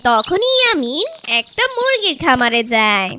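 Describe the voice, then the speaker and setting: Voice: sits high, sing-song, normal speed. A narrator reading a scripted children's story.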